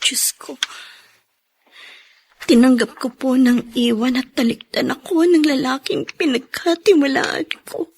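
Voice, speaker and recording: A woman playing an anguished, tearful young unwed mother in a Filipino radio melodrama, pleading haltingly for her sick child. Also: high-pitched, gravelly, dry recording. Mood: tearful, pained.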